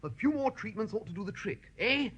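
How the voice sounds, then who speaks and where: High, animated young gent. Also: male voice, fairly quick, husky, muffled recording, full character voice. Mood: eager, brisk. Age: young adult. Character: eager, scheming young upper-class gentleman, lovestruck. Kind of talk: radio comedy drama acting.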